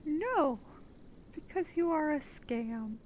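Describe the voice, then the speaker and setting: High-voiced, sarcastic female gamer. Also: expressive, slow pace, narrow, tinny audio, heavy background noise. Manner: blunt, sarcastic. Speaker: gamer reacting to a game. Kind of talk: casual game commentary.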